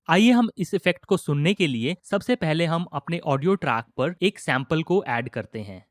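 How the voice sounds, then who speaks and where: A man with an Indian accent giving a methodical step-by-step software tutorial as an instructor. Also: fairly high pitch, no room sound, clean studio sound.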